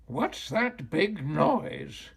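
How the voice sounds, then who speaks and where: A man's voice: fairly high pitch, sing-song, extremely slow, gravelly, slightly rough, exaggerated voice. A man voicing a feisty, cranky old witch from a children's storybook, indignant and emphatic, drawing out the words. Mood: startled, indignant.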